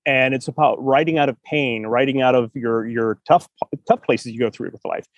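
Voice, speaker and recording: A man's voice: mid-range voice, normal speed, no room sound, highs cut off. A man hosting a podcast, giving earnest, encouraging writing advice in a casual chat and repeating phrases for emphasis. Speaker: podcast host, writing coach.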